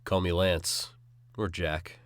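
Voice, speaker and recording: A man's voice: low-pitched, little pitch movement, slow pace, a bit bright, no echo. A man voicing a tired, laid-back, mysterious masked ninja in an audiobook. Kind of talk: audiobook character acting.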